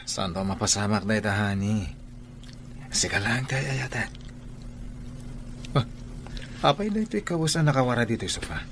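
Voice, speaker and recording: An actor playing a weary, suspicious, grumbling husband in a radio comedy drama. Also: male voice, lowish voice, normal speed, pauses often, close to an everyday voice. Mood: conversational. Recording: background noise, ambience and vehicle sounds.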